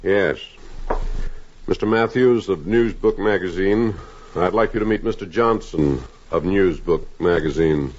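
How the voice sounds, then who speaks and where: An actor playing an absent-minded, cautious research doctor in a 1950s radio drama. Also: male voice, deep voice, a little slow. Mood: suspicious, guarded. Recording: muffled, noisy vintage radio broadcast.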